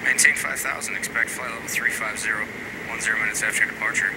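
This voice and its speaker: A man acting a brisk controller on noisy radio. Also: fairly low pitch, steady tone. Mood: brisk, businesslike. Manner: brisk, clipped. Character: brisk young male air traffic controller. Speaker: air traffic controller. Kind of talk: rapid clearance phraseology. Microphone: headset.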